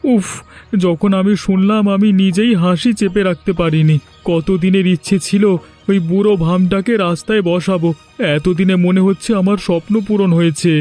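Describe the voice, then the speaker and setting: A man's voice: high voice, steady tone, moderate pace, mellow, close to an everyday voice. A man voicing a greedy, spiteful village schemer in a cartoon, gleeful and mocking, with music behind him. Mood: gloating, gleeful. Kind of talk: exaggerated cartoon villain dialogue.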